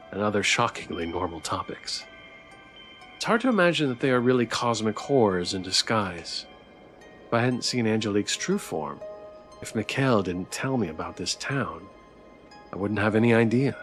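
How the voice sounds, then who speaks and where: Male game streamer reading story narration aloud, music underneath. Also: on the low side. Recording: background music.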